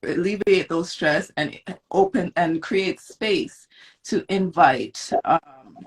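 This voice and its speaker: A woman from Jamaica, a guest on a live stream, giving calm, encouraging wellness advice. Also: fairly low pitch, low-quality recording. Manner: calm and measured, with warm encouragement and "um" pauses.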